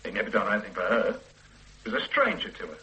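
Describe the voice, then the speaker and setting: A defensive son under police questioning in a radio drama, agitated and resentful. His bright voice leaps wildly up and down. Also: lowish voice, huge pitch swings, quick pace, exaggerated voice, poor audio. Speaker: murder suspect's son.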